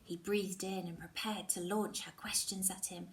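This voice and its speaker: A woman teacher reading a novel aloud, expressive and slipping into whispers at tense moments. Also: lowish voice, moderate pace, recorded from a distance. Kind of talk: storybook reading.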